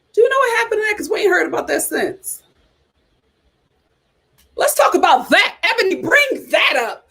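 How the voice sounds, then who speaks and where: Young female host, sassy casual commentary, bright high register. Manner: sassy, animated, talks straight to viewers.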